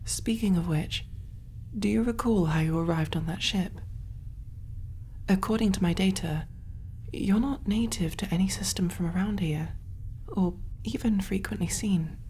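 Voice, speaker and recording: A woman voicing a kind, calm alien medic in a soft, breathy, reassuring ASMR roleplay style. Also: medium pitch, a little slow, a little hiss or hum, natural voice. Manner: gentle, hushed, reassuring, often near whisper.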